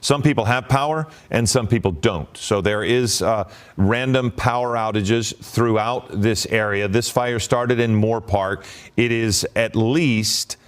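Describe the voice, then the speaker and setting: A man anchoring live breaking news coverage, ad-libbing in a halting way with repeated words. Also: lowish voice, smooth.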